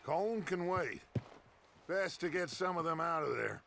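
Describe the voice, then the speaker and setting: Commanding, gravel-edged outlaw gang leader. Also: male voice, a little high, animated, a little slow, fairly bright tone, slight background noise, heavily acted. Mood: low, calculating. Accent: American. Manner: theatrical, authoritative oratory. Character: commanding, persuasive middle-aged male outlaw gang leader. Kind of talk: dramatic video game acting.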